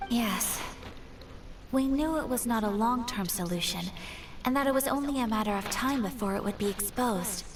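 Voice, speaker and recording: An actress playing a courteous, grave young noblewoman in a fantasy video game, speaking formally with measured, precise diction. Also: on the high side, distant mic, noisy background, natural voice. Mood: serious. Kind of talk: scripted fantasy game voice acting.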